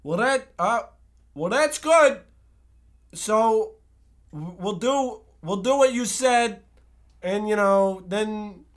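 An earnest, timid boy voiced by a man in a comic radio play. He has a high voice and picks through his stilted lines slowly and hesitantly. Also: animated.

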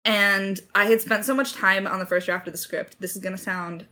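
A woman's voice: medium pitch, steady tone, fairly quick, pushed and bright. A woman telling stories casually as a writer in a creator Q&A, with playful mock-dramatic emphasis. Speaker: lead writer of an audio drama.

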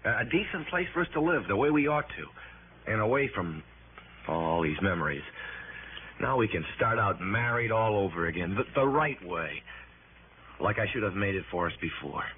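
Through a tinny, faintly hissy old radio recording comes a man acting a young ex-convict husband from a radio drama. He is lowish in pitch, earnest and deferential, stammering nervously as he pleads. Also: animated, moderate pace, natural voice. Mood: hopeful, earnest.

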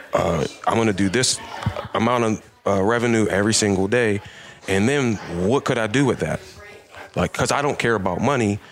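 A man in his late thirties, a lawn care business owner, talking earnestly on a casual podcast. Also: fairly low pitch. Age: 30s. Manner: earnest, reflective, repeats words for emphasis.

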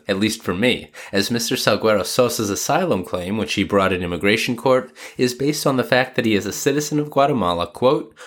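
A man with a cold and a slightly nasal voice, hosting a scripted immigration law podcast and reading the sponsor ads himself, brisk with informal asides. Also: lowish voice, fairly bright tone. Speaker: podcast host and immigration lawyer. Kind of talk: scripted legal podcast narration with ad reads.

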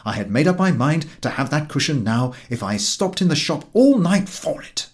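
Man acting an indignant, high-swooping exasperated Englishman. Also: big pitch swings, normal speed. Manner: emphatic, aggrieved. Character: exasperated, indignant Englishman recounting his mishap. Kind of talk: audiobook character dialogue.

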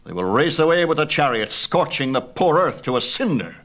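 A man's voice: on the high side, big pitch swings, a little fast, a bit bright, voice pushed up. A man voicing a proud, mighty father god in a myth tale, imperious, theatrical and emphatic. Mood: angry, alarmed. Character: proud, mighty sun god father, booming and quick-tempered. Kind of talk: dramatized myth storytelling.

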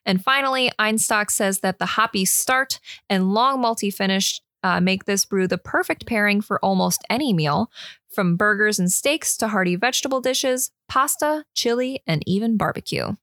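Brief: female podcast co-host, mid pitch, dry close sound, chatty note-reading. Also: moderate pace, fairly bright tone, dry recording. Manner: chatty, reads notes with easy asides. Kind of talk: casual chat with read-out research notes.

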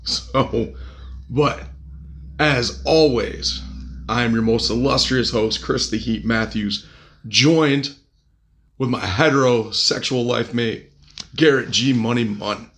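Raspy man with a cold hosting. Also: mid-range voice, takes it slowly, a bit bright. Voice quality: gravelly. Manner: crude, joking, upbeat. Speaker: podcast host. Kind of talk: casual podcast chat.

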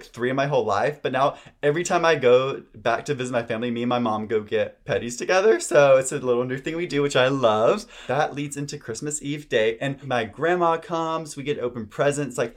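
A man from South Georgia talks casually on a podcast. He is upbeat and teasing as he recounts his holidays. His pitch sits in the middle. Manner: upbeat, teasing, laughs often. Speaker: podcast co-host. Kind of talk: casual chat.

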